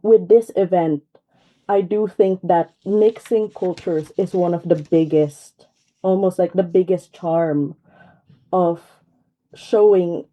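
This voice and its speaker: A woman's voice: lowish voice, steady tone, takes it slowly. A young woman with a Filipino accent, a radio interview guest calling in, who talks politely and mixes Tagalog and English with frequent fillers. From Philippines. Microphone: phone call. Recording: tinny phone line.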